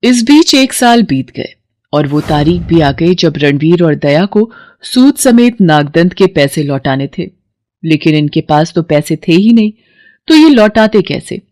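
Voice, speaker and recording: A woman's voice: fairly low pitch, expressive, unhurried, darkish tone, dry recording. A woman narrator telling a scripted story in a dramatic storytelling style.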